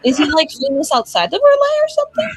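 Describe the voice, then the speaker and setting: A woman's voice: extremely high pitch, wildly swooping pitch, quick pace, soft background music, full character voice. A woman voicing a candid, casual young woman in tabletop roleplay, rambling evasively in loose everyday phrasing. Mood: curious. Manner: casual, rambling, filler-heavy. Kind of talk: improvised in-character tabletop roleplay.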